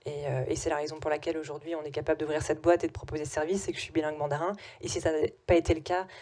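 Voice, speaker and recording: A woman's voice: sits low, a little mellow. A young adult woman speaking at a business conference and answering questions, with frequent hesitations and restarts. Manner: hesitant, many "euh" fillers and restarts. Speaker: conference speaker, company CEO. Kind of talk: conference talk with Q&A.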